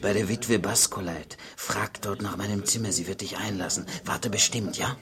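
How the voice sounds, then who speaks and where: An actor playing a weary, self-mocking tavern singer in a German radio drama, singing ballads or speaking in hushed tones. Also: male voice, lowish voice, moderate pace, a crowd in the background, lightly acted. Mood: subdued, tender.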